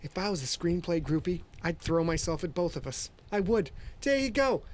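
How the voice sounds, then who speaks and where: Excitable rambling man host. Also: a little high, moderate pace. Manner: excitable, rambling, self-deprecating. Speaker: podcast host and author. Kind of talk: casual livestream chat.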